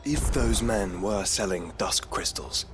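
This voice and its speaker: Lowish-voiced, refined nobleman. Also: slow. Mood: inquisitive. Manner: formal, articulate. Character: refined, composed nobleman adventurer, dryly inquisitive. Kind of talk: dramatic video game acting. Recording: music under the voice.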